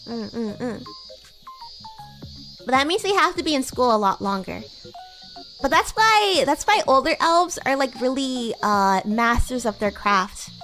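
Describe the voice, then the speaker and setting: A woman puts on a young female demon classmate with a high, girlish voice. She is bratty, playful and teasing, rambling in a loose livestream roleplay style. Faint music plays behind her. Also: big pitch swings, a little slow, fairly bright tone, heavily acted. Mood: playful explaining. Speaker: VTuber streamer. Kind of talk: improvised in-character roleplay livestream chat.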